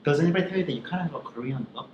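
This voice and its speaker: A man's voice: a little high, animated, rapid-fire, highs cut off. A man hosting a podcast interview, asking curious questions and reacting with short interjections. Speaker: podcast host. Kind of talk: casual interview chat. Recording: echoey room with faint noise.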